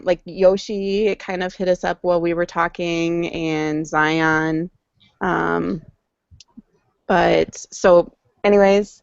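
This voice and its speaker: A woman's voice: on the low side, clear, resonant tone, no room sound, lo-fi sound. A woman co-hosting a casual skateboarding chat, recounting events with frequent ums and restarts. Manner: rambling, many ums and restarts. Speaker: podcast co-host.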